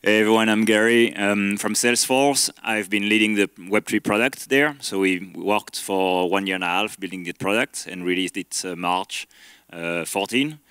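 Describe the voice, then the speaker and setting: Belgian-accented man, bright and dry. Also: on the low side, smooth, dry recording. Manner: relaxed, anecdotal, with filler pauses. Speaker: panelist, product leader. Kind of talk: conference panel talk. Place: conference stage.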